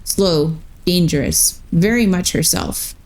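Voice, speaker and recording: A woman voicing a confident, calm female team leader with measured, dramatic delivery in a read-aloud fantasy story. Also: on the low side. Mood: wry, inviting.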